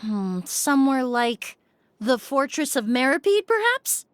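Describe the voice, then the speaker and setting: Shrewd young perfumer, calm and measured, lively pitch, dry studio sound. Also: female voice, dry recording. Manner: calm, measured, politely probing. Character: composed young woman perfumer, shrewd and polite. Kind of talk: video game voice acting.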